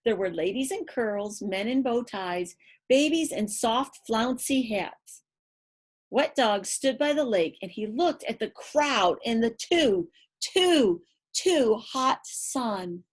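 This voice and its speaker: A woman's voice: on the high side, lively intonation, breathy edge. A woman storyteller reading a picture book to children, playful and rhythmic, with chatty asides. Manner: playful, drawn-out, rhythmic delivery. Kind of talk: animated picture-book read-aloud with asides to young listeners.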